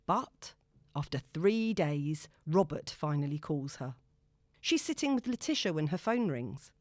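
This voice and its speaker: A woman narrating a novel in a wry, expressive storytelling manner. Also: low-pitched, a little slow, dry recording, studio quality. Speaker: audiobook narrator.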